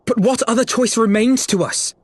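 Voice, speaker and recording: A man voicing an earnest, noble young gentleman in a game cutscene, speaking formally with archaic phrasing. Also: very high-pitched, moderate pace, dry recording. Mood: determined. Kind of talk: video game cutscene acting.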